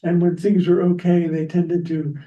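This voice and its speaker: A man moderating a library panel, speaking off the cuff in a halting way. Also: fairly high pitch, little pitch movement, mellow. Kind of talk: unscripted remarks at a library panel. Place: echoing library event room. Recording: roomy, muffled, distant microphone.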